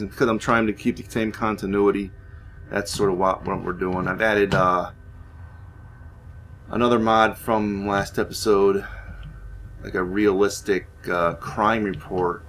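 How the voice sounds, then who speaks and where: Low-voiced man, noisy recording. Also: a bit bright. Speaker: gaming series host. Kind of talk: roleplay let's play commentary with story narration.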